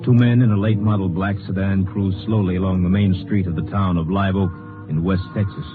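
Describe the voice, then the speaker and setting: A man's voice: low voice, fairly even intonation, a little fast. An American man reading scripted crime narration for an old radio drama in a matter-of-fact manner. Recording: tinny old radio recording, loud music.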